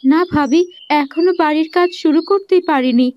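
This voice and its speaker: A woman's voice: high voice, normal speed, highs cut off, natural voice. A woman playing a meek, obedient young village girl in a cartoon fairy tale, polite and submissive.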